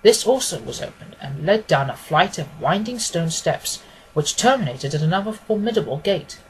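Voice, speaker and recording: A man's voice: fairly high pitch, animated, normal speed, slight background noise, low-quality recording. A man narrating a scripted story as an audiobook reader.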